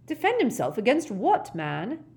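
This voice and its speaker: A woman's voice: a little high, very animated, normal speed, darkish tone, pitched above the performer's own voice. A woman voicing a formal, easily flustered and indignant male government official in a storybook reading. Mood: angry, indignant. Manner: formal, polite, tart.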